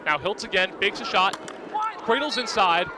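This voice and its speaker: A man's voice: sits high, lively intonation, moderate pace, a crowd in the background. A young man doing live radio play-by-play commentary in clipped, vivid present-tense calls. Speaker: play-by-play announcer. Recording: outdoor broadcast with clear background noise.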